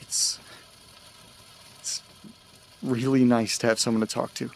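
An actor playing an anxious, desperate man in an audio drama, speaking haltingly and repeating words. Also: medium pitch, flat delivery, creaky. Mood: awkward gratitude. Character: anxious, desperate man searching for his dog.

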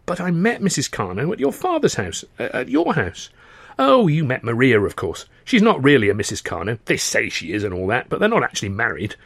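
A man's voice: mid-range voice, wildly swooping pitch, fairly quick, vocal fry, fairly bright tone, slightly rough, dry recording, exaggerated voice. A man voicing a cheerful, gossipy upper-class young man with a chummy, posh-sounding manner.

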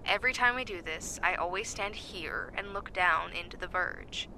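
A woman's voice: on the low side, normal speed, sharp tone, low-quality recording. A woman acting in an audio drama as an upbeat, observant bunker maintenance worker, heard through a suit recorder outdoors. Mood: curious, uneasy. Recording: suit recorder, outdoor ambience, faint noise.

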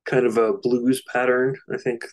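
A man's voice: medium pitch, level tone, moderate pace. A man co-hosting a casual album discussion, explaining things in a loose, slightly hesitant way. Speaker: podcast co-host.

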